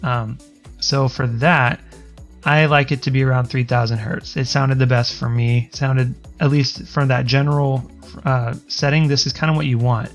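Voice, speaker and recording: A man with a self-described higher-pitched voice talks through settings in a casual, rambling way, often restarting his sentences and filling gaps with ums. His pitch sits in a middle range for a man. Quiet music plays faintly underneath him. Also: fairly even intonation, normal speed. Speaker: streamer explaining audio settings. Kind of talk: casual screen-recorded tutorial.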